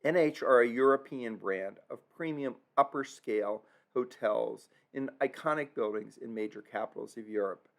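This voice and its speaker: A man's voice: mid-range voice, moderate pace, gravelly, few pauses. A man narrating his travel video in an earnest, reflective way, stumbling now and then over German place names. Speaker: travel vlogger narrating a tour.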